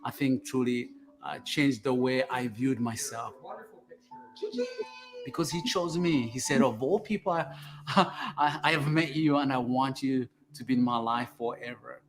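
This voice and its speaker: A man's voice: medium pitch, unhurried, low-quality recording. A man from Uganda, a foster and adoptive father, telling his life story in an earnest, reflective, heartfelt way. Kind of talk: personal testimony in a documentary clip.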